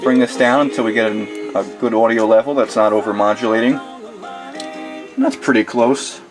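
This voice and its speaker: A man's voice: mid-range voice, steady tone, fairly quick, music in the background. A man giving a methodical step-by-step tutorial at his home desk, talking plainly as he works through the steps. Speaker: tutorial host. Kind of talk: step-by-step how-to demonstration. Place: home desk with computer and mixer.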